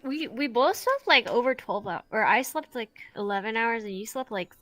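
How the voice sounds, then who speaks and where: A woman's voice: a little high, animated, normal speed, fairly bright tone, no echo. A woman chatting casually with friends in an online voice chat.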